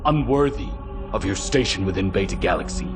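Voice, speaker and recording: A stern, low-voiced military commander. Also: male voice, lively intonation, a little fast. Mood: scornful. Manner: clipped, formal, severe. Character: stern unforgiving male military commander, accusing and harsh. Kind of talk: dramatic video game cutscene acting. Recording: loud music behind the voice. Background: dramatic score.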